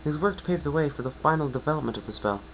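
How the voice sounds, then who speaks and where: A man's voice: fairly high pitch, animated, fast, a little hiss or hum, pitched above the performer's own voice, poor audio. A man voicing an earnest, bookish young female unicorn, polite and easily flustered, in a fan fiction reading. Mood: eager, bookish. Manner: eager, polite, explanatory.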